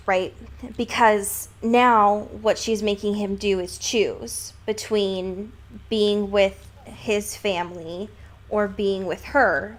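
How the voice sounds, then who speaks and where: Analytical woman chatting casually about a book. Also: medium pitch. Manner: analytical, emphatic, casually profane. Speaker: podcast co-host.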